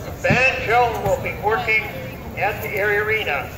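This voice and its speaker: A man's voice: high voice, moderate pace. A man making routine schedule announcements over a fairground public address system. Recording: distant echoey loudspeaker with crowd noise.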